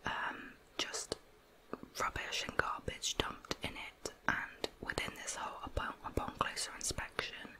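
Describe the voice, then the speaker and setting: A woman narrating true crime in a soft, measured, breathy ASMR whisper. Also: poor audio.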